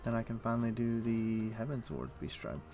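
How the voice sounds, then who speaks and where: A man's voice: lowish voice, expressive, brisk, music in the background. A man livestreaming a video game, casually thinking aloud in short muttered phrases as he plays, speaking into a desk microphone. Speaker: livestream host. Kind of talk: casual gaming commentary, talking to himself while playing.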